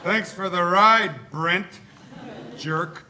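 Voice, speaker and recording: A man playing a geeky, excitable fantasy-fan cop in a live cartoon table read, fussy, pedantic and mock-formal. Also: high voice, extremely slow, exaggerated voice. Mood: indignant. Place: theatre stage before an audience.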